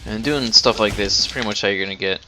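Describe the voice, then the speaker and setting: A man's voice: fairly low pitch, quick pace, over music. A young man giving casual, sarcastic gameplay commentary, with some swearing. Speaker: let's play host.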